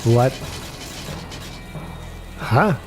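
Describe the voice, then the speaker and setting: A man giving casual, jokey livestream commentary on a video game and chuckling often. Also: drawn-out words. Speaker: streamer. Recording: game music behind the voice.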